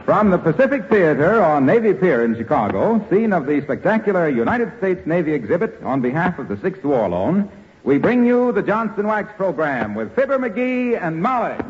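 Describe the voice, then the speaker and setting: A man's voice: high voice, very animated, moderate pace. A man working as a 1940s radio announcer, delivering polished, emphatic sales copy. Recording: dull 1940s radio recording, faint hiss.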